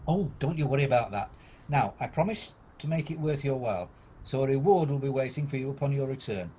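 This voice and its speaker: An actor playing an elderly reformed miser, excitable and flustered, newly generous, in an amateur radio play. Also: male voice, medium pitch, brisk, slightly rough. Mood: eager, generous. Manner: exclamatory, flustered, eager.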